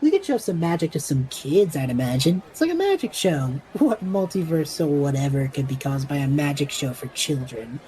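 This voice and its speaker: A woman's voice: deep voice, very animated, normal speed, hardly any pauses, warm tone, poor audio. A woman voicing a cocky, flippant young male student adventurer in an audio drama, breezy, sarcastic and slangy.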